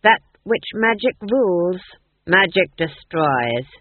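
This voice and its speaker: A man reading the part of a cold, menacing, mad duke who sneers and speaks slowly and deliberately. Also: high-pitched, big pitch swings, narrow, tinny audio, exaggerated voice.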